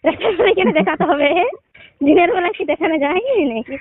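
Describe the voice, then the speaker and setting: A young woman speaks. She talks fast and answers back in a casual, argumentative way. The call is tinny and noisy. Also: very high-pitched, expressive, dry recording. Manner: retorting, argumentative. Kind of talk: casual teasing phone chat. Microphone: telephone.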